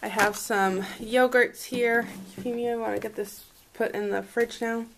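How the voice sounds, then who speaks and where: High-voiced woman chatting casually, noisy recording. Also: fairly even intonation, moderate pace, vocal fry, a bit bright. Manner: rambling, thinking aloud. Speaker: home vlogger. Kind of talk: casual chat, showing a grocery haul. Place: indoors at a home dining table. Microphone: handheld camera microphone. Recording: noisy handheld camera audio with clicks.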